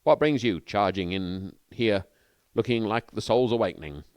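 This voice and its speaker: A man's voice: lowish voice, animated, moderate pace, darkish tone, clean tone, no room sound, close to an everyday voice. A man voicing a melancholy young New York newspaperman who talks in a wordy, dryly ironic way. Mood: breezy.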